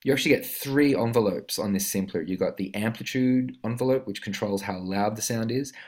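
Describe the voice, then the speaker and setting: A man giving a casual, step-by-step music software tutorial in an explanatory way. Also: fairly low pitch, a little fast. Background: musical instrument notes from demonstrations.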